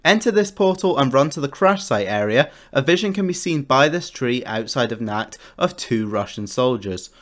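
A man's voice: medium pitch, lively intonation, normal speed, few pauses. A man narrating a scripted video game guide in an informal, enthusiastic way, like a YouTuber. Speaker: gaming YouTuber.

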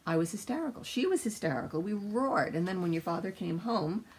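Husky older woman, lively and gravelly. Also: medium pitch, a little fast. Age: older, around 60s. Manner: animated, emphatic storyteller. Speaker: co-host, mother discussing books. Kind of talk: casual rambling chat. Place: small book-lined room indoors.

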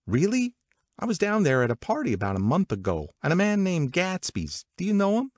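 A man voicing a gossipy, worldly young woman in an audiobook, confiding and excitable in manner. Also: high voice, a little fast, dry recording, clean studio sound, voice pushed up, full character voice. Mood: curious, chatty. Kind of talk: audiobook character dialogue.

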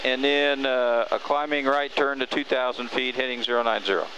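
Clear engine drone surrounds a middle-aged man on a cockpit intercom. He is a flight instructor with a medium-pitched voice, coaching in an informal, easygoing way. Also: steady tone, moderate pace, noisy background. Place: small airplane cockpit in flight. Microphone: aviation headset.

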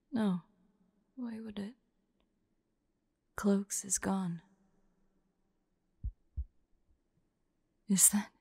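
A woman playing a vulnerable, devoted woman in an intimate audio roleplay, halting and often close to a whisper. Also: mid-range voice, no room sound, full character voice. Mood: grieving, stunned. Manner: soft, breathy, halting, often near whisper.

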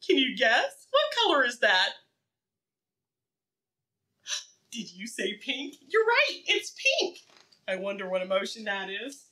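A woman preschool teacher with a high, very expressive voice leads a lesson for young children. Her delivery is bright and encouraging, built on simple, repeated phrases and questions left open for the listener. She sounds a little distant from the microphone. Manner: animated, encouraging, asks questions and pauses for answers.

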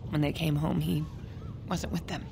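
An actress playing a worried middle-aged dwarf mother in a video game, grieving and halting in her speech. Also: sits low, flat delivery, vocal fry, mellow, a little hiss or hum. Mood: sorrowful. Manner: halting, sorrowful, earnest. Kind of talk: video game dialogue acting.

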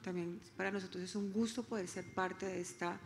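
A woman's voice: on the low side, steady tone, brisk, vocal fry, noisy background. A woman from Colombia with a Colombian Spanish accent, politely introducing herself on a conference stage with a handheld mic. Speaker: event organizer representative. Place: large conference hall on stage. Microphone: handheld microphone. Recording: reverberant hall with faint ambience.

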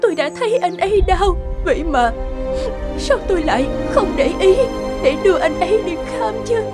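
A woman's voice: very high voice, expressive, moderate pace, loud music behind the voice. A woman voicing a tender, remorseful wife in grief, plaintive and emotional, in a cartoon melodrama. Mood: anguished, remorseful. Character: grieving remorseful divorced wife, adult, tender.

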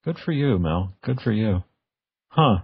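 Husky, low-voiced male radio host. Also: lively intonation, measured pace, no echo. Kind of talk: casual interview chat. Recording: dull, lo-fi, dry radio audio.

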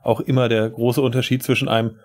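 A man's voice: medium pitch, little pitch movement, fast, darkish tone. A man co-hosting a podcast, explaining things in casual talk. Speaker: podcast co-host.

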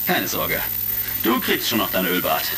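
An actor playing a confident, friendly space commander hero in a retro sci-fi audio drama, earnest and heroic. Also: male voice, on the low side, sing-song, normal speed, gravelly. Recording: faintly crackly vintage record.

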